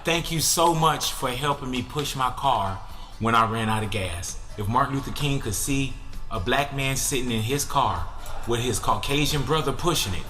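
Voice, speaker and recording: A man's voice: medium pitch, moderate pace, sharp tone, soft background music. A man teasing a friend in a playful video tribute.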